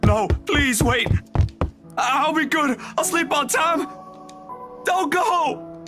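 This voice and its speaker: A man voicing a troubled young man in a horror game, with dramatic, drawn-out delivery. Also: very high voice, expressive, over music, pitched above the performer's own voice, full character voice. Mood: desperate pleading.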